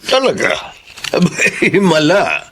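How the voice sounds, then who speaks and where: An actor with a Filipino accent playing an old, dryly suspicious, needling grandparent in a radio drama. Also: male voice, fairly high pitch, huge pitch swings, takes it slowly, a bit bright, slight background noise, heavily acted.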